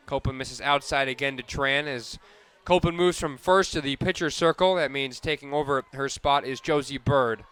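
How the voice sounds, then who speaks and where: Male sports play-by-play announcer, radio commentary, forceful tone, smooth and crisp. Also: medium pitch, moderate pace.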